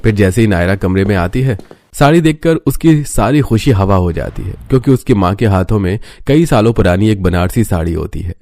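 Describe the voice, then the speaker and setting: A man's voice: lowish voice, lively intonation, brisk, a little mellow. A man reading scripted narration as the narrator of a story.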